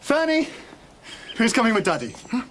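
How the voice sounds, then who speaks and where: A man's voice: very high-pitched, huge pitch swings, moderate pace, fairly bright tone, a little hiss or hum, heavily acted. An actor playing a doting father and wounded, estranged husband, by turns playful and terse, in a naturalistic radio drama. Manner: swings between playful and terse.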